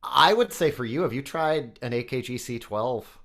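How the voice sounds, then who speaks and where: A man's voice: medium pitch, animated, moderate pace, a bit bright. A man with a nasal voice, a guest on a podcast, chatting casually and technically about microphones. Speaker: guest, microphone company CEO.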